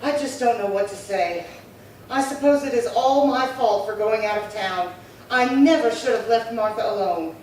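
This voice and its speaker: A woman's voice: fairly high pitch, normal speed, close to an everyday voice. A woman playing an indignant, bossy sister in an old-time radio crime drama, scolding emphatically and repeating herself. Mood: flustered. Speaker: actor. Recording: very echoey room, distant mic, faint noise.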